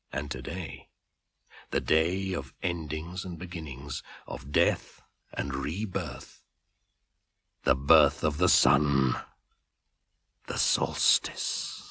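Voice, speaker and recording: An actor playing an ancient pagan winter spirit, a brooding, commanding shaman, in a slow, incantatory 1980s radio drama monologue on an old broadcast recording. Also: very deep voice, a bit bright, breathy edge, heavily acted. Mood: solemn, beckoning.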